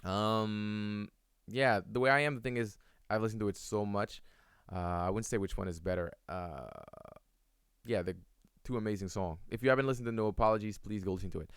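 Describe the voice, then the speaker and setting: A man's voice: on the low side, a little fast, clean tone, dry recording, clean studio sound. A young man whose first language is French, answering viewers' questions in a casual live-stream, rambling with many fillers and restarts.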